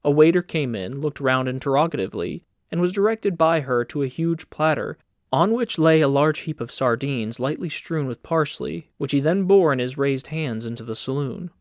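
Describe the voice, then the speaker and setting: A man's voice: fairly high pitch, lively intonation, moderate pace, no room sound, studio quality. A man reading scripted literary narration as an audiobook narrator.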